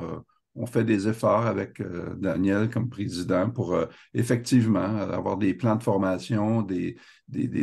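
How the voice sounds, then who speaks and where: A man with a Québécois accent speaking on a panel in a halting way, with many restarts. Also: fairly low pitch, creaky, warm tone, slightly rough. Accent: Québécois French. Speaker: association member and speaker. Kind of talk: panel talk.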